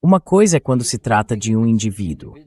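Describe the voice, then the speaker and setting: A man's voice: fairly low pitch, big pitch swings, quick pace, mellow, breathy edge. A man as host, reading a translated voice-over of a political interview in conversational, argumentative sentences.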